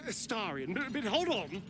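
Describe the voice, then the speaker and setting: A man playing an arch, fastidious vampire spawn in a video game, with a flamboyant, theatrically affronted manner. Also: high voice, heavily acted. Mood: amused, incredulous. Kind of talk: dramatic video game acting.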